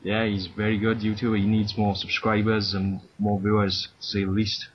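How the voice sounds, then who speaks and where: A man hosting a casual voice-call chat, chatty and laughing often, closing with thanks and shout-outs. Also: lowish voice, little pitch movement, moderate pace, slightly rough. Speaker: channel host. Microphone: computer mic on a voice call. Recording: dull lo-fi voice-call audio with faint noise.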